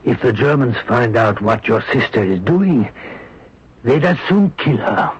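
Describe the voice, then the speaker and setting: An actor playing a grave, kindly French churchman in a radio drama, speaking in a measured, formal way. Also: medium pitch, expressive, breathy edge. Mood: cautious, practical. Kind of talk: radio mystery drama acting. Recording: muffled, noisy old radio recording.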